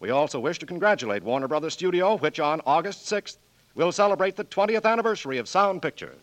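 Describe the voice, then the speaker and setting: A man announcing a 1940s radio drama broadcast, with a smooth, polished delivery. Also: a little high, expressive, quick pace, a bit bright, poor audio.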